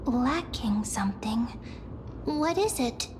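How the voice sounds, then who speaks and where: High-voiced, gentle young game heroine. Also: female voice, expressive, slow, background noise. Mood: puzzled, shaken. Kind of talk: dramatic game voice acting. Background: music.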